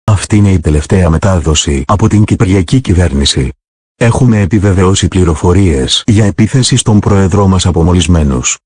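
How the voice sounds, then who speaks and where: A man's voice: sits low, animated, fast talker, a little mellow, husky, no room sound. A synthetic-sounding man reading a scripted emergency news announcement in a formal manner. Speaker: government broadcast announcer.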